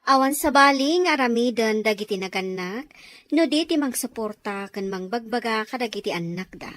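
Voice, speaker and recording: A woman's voice: fairly high pitch, animated, normal speed, a bit bright, no echo. A woman narrating and announcing a radio drama in a scripted style.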